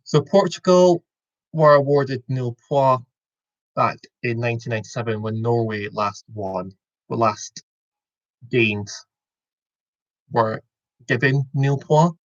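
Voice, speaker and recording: A man's voice: medium pitch, takes it slowly, long pauses, dull audio. A young Scottish man in his twenties hosting a casual online quiz over a video call, rambling and correcting himself with a joking tone. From Scotland. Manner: rambling, self-correcting, jokey. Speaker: quiz host.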